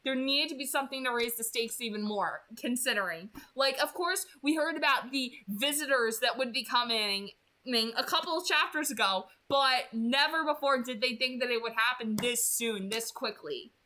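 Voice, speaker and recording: Young woman, higher-pitched, bright forceful tone, excited casual commentary, emphatic delivery. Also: normal speed. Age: 20s. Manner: enthusiastic, emphatic, repetitive. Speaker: read-aloud host.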